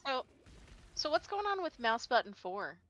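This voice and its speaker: Female side voice, lively intonation, short casual interjections. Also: sits high, normal speed, lo-fi sound. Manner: brief interjections and questions. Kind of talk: casual chat.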